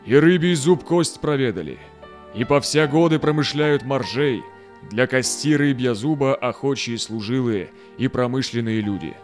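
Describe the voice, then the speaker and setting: A man's voice: mid-range voice, moderate pace, faint music behind, close to an everyday voice. An actor playing a weary Cossack explorer and father in an audio drama, speaking in a solemn, declamatory way. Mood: formal, proud. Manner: grave, declamatory. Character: weary, dutiful male Cossack explorer returned home, a father. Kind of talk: solemn audio drama acting in verse and old chronicle prose.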